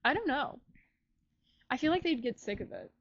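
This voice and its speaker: A woman's voice: high-pitched, expressive, moderate pace, no echo, dull audio. A young American woman co-hosting a podcast in casual chat, slangy and informal, peppering her sentences with "like". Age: young adult. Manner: informal, slangy, heavy use of "like". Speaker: podcast co-host.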